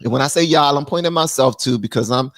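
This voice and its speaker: A man's voice: medium pitch, fast, dry recording, studio quality. A man hosting a livestream of political commentary, talking bluntly with slang and slipping into mock voices. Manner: animated, drops into mock voices. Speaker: livestream host.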